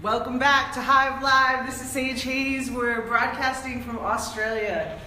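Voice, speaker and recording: A woman's voice: sits high, fairly even intonation, measured pace, bright, forceful tone. A woman from the US East Coast hosting a live interview stream, upbeat and bubbly with many ums. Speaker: podcast host. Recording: echoey room with light hiss.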